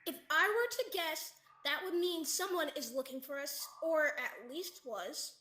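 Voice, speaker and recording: A woman's voice: high-pitched, measured pace, sharp tone. A teenage woman acting an anxious, sceptical survivor in a radio theatre play. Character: anxious, sceptical trapped survivor, quick to blame. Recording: echoey room, faint noise.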